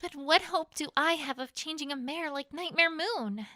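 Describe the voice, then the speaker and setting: A woman voicing an earnest, anxious young female unicorn in an expressive audiobook performance. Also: high voice, normal speed. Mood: worried. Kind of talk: dramatized audiobook character acting.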